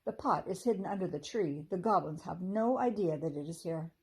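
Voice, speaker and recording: A woman voicing a stern, plump male frog who guards a hidden pot, gruff in manner. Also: fairly low pitch, expressive, fairly quick, darkish tone, exaggerated voice. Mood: relieved.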